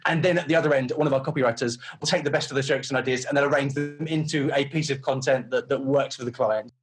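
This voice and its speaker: A man's voice: mid-range voice, steady tone, quick pace, faint music behind. A man chatting casually as an interview guest, often repeating words as he rephrases. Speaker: interview guest, runs a creative agency.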